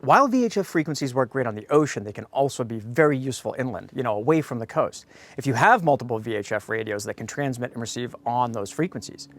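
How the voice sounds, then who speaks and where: A man's voice: medium pitch, expressive, quick pace, fairly bright tone, no echo. An American man hosting a product review, speaking to viewers in a blunt, opinionated way. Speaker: host of a radio review.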